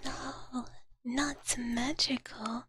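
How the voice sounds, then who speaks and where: A woman whispering softly and breathily in casual ASMR chat close to the microphone, with a cutesy, girlish way of talking. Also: high voice, fairly even intonation, very slow, very noisy. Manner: girlish cutesy talk, refers to herself in third person. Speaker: ASMR live streamer.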